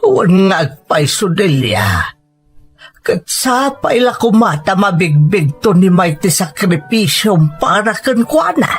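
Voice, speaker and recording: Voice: mid-range voice, big pitch swings, slow, slightly rough, full character voice. An actor playing a tender, worried elderly parent in an Ilocano Filipino radio drama, speaking with emotion. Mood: sorrowful. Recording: music under the voice.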